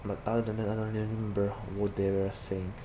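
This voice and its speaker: A young man with a Slovak accent and a slightly low voice rambles casually through his gameplay, leaning on "like" fillers. Clear engine and vehicle noise from the game runs under his desk-mic recording. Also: monotone, fast. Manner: rambling, chatty, heavy use of "like" fillers. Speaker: gaming YouTuber.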